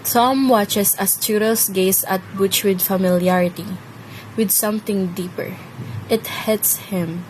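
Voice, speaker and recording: A woman's voice: mid-range voice, fairly even intonation, measured pace, slight background noise, low-quality recording. A woman reading a scripted, dramatic story as its narrator. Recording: loud music and rain behind.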